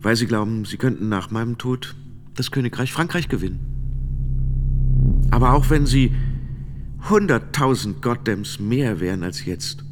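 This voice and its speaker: An actor playing a grave middle-aged French knight giving measured, formal witness testimony in a radio drama. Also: male voice, medium pitch, animated, close to an everyday voice. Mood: intense, quoting. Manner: measured, deliberate, formal phrasing with pauses. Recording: long reverberant hall, music underneath. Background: music.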